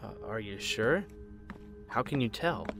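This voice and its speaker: An actor playing an uneasy, soft-hearted lab assistant and cameraman in an audio drama, hesitant and emotional. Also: on the low side, animated, a little slow, music in the background. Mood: subdued.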